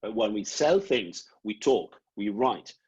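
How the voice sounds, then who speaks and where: A middle-aged Italian man with an Italian accent, answering prospective students' questions on a video call, halting and repeating words as he explains. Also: lowish voice, lively intonation, a little mellow, slightly rough. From Italy. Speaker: programme director. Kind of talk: online Q&A answers. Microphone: laptop webcam video call. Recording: dull lo-fi video call audio.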